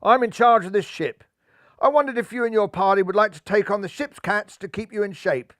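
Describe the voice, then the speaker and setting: A man's voice: high voice, brisk, a bit bright, no room sound, studio quality, pitched above the performer's own voice. A man voicing a polite male cat who captains a ship, in a raised, formal voice.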